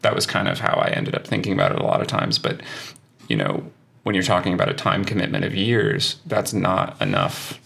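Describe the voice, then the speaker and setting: A man's voice: low voice, sing-song, a little fast, vocal fry, a bit bright, breathy edge. A man talking as an interview guest, a language teacher musing thoughtfully and hedging as he reflects. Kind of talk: casual interview conversation.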